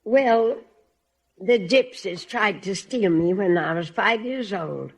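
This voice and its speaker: A woman's voice: mid-range voice, slow. A woman from Massachusetts with an American accent, answering a quiz host politely and formally as a contestant. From Massachusetts, United States. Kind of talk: unscripted interview answers.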